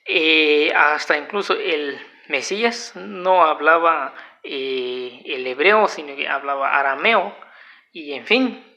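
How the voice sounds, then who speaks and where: A man, not a native speaker, giving a halting, unscripted talk at home that keeps circling back to restate its points. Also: fairly high pitch, creaky, sharp tone. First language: a language other than Spanish. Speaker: video lecturer. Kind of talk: unscripted explanatory monologue. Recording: dull lo-fi home recording.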